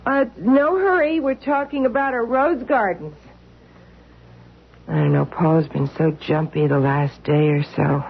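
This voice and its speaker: An actress playing a dazed housewife who speaks haltingly in an old radio drama. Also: female voice, on the low side, steady tone, creaky, breathy edge, heavily acted. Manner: hesitant, halting speech. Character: dazed housewife Edith, embarrassed after passing out. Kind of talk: 1970s radio drama acting. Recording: tinny lo-fi old radio broadcast.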